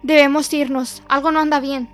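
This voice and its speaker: An actress plays a brash young woman investigating a haunting in a horror audio drama. Her voice is high and bright, with a bossy, forceful manner. Faint music sits behind her. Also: level tone, moderate pace. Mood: alarmed. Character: brash, sceptical young woman ghost debunker.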